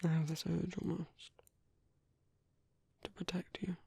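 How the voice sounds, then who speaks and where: Gentle, bashful British male vampire priest, played by a man, ASMR roleplay. Husky near-whisper, courteous and hesitant, very close and dry. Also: a little high, completely flat delivery, a little slow, dry recording, full character voice. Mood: tender, earnest. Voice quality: soft, breathy, husky, often near-whispered. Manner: hesitant, courteous, formal phrasing. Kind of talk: ASMR roleplay, intimate soft-spoken monologue.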